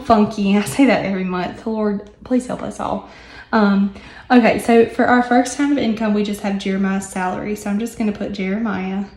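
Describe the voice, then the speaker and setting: A young woman with a hoarse, recovering voice, chatting casually with many ums and asides as she works through her budget. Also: medium pitch, a little mellow, echoey room. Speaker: budgeting vlogger. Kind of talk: casual chat while working on paper.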